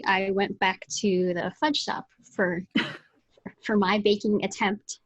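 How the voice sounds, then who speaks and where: Chatty woman with a slightly husky voice. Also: mid-range voice, muffled recording. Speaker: podcast co-host, author. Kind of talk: casual book chat. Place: small room at home. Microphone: video call.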